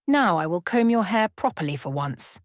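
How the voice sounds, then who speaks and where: A woman's voice: lowish voice, sing-song, normal speed, no room sound. A sly old storybook peddler woman, played by a woman reader, coaxing and deceitful. Her selling has a wheedling lilt, heard in a very dry recording. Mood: falsely kind.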